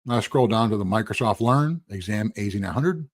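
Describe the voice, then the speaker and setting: A husky man, losing his voice, teaching a casual live-streamed lecture in a rambling, conversational way with asides. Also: fairly low pitch, expressive, a little fast, no echo, studio quality. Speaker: instructor.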